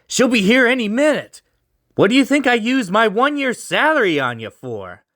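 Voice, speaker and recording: A man's voice: very high voice, very animated, normal speed, a bit bright, pitched above the performer's own voice, heavily acted. A man voicing a bitter, struggling young man who is exasperated and snappy, in a storybook reading. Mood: impatient.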